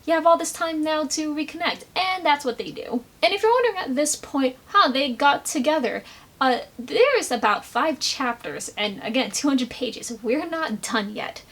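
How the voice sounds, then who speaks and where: A woman giving a casual, animated commentary recap with sarcastic asides. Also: high-pitched, moderate pace. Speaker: video commentator.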